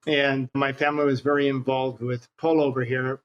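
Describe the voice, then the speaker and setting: A man's voice: mid-range voice, flat delivery, fairly quick, dull audio. An older man from Hawaii with an American accent, casually reminiscing as an interview guest. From Hawaii, United States.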